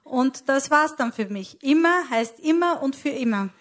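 Female sermon interpreter, clearly high-pitched voice. Live, phrase-by-phrase delivery, occasional self-corrections. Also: expressive, normal speed, fairly bright tone.

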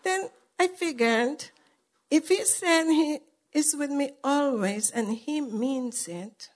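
A woman preacher who is not a native English speaker, delivering a sermon in short, emphatic, repeated phrases with pauses for an interpreter. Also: high voice.

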